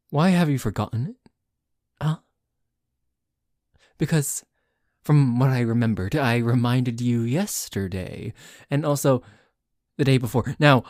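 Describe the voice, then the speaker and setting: A man's voice: medium pitch, dry recording, clean studio sound. A man playing a possessive, demanding yet playful yandere boyfriend in an intimate roleplay monologue, with theatrical delivery. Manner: theatrical, swinging between gushing and soft menace.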